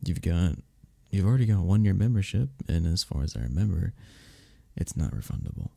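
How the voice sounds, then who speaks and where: A man playing a flirty, friendly club member in an ASMR romance roleplay, teasing and reassuring in a breathy, gravelly voice. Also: low voice, sing-song, brisk, dark tone, full character voice. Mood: amused, teasing. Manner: teasing, reassuring, chuckles between phrases. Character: flirty, friendly male cuddle club member showing a newcomer around. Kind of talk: ASMR romance roleplay, one-sided conversation.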